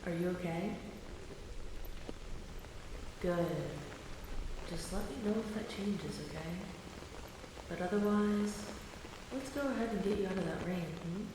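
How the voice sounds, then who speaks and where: A woman playing a gentle, caring dragon friend in an intimate roleplay audio drama, speaking in a reassuring, considerate, slightly hesitant way. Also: mid-range voice, measured pace, pauses often, background noise, natural voice.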